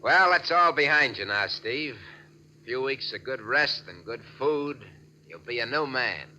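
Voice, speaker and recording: An actor playing a slick, bossy gangster and nightclub owner, brash and glib, in a 1940s radio drama. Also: male voice, mid-range voice, animated, a little slow, bright, forceful tone. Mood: hearty, boastful. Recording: dull, noisy vintage radio recording.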